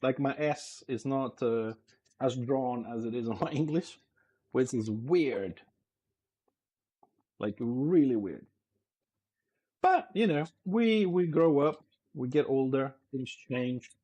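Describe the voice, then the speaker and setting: A man's voice: mid-range voice, expressive, slow pace, pauses often, warm tone. A man from Greece, whose first language is Greek, chatting casually on a live stream as he cooks in his home kitchen. He is easygoing, laughs often, and has a slight lisp when he speaks English. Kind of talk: casual live-stream chat while cooking.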